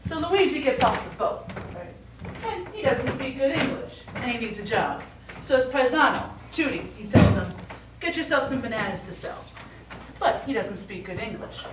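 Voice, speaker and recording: A woman's voice: on the high side, close to an everyday voice. An actress on stage playing the exasperated but caring adult daughter of a forgetful father, speaking in an insistent, pointed way. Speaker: stage actor. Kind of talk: live one-act stage play acting. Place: small theatre stage. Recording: echoey theatre, distant mic, faint noise.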